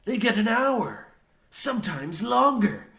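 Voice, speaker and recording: A man playing a gruff old coal mine foreman in an old-time radio comedy, blasé and folksy in archaic dialect. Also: high voice, sing-song, slow, fairly bright tone, exaggerated voice. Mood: blustering, indignant. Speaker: radio play actor.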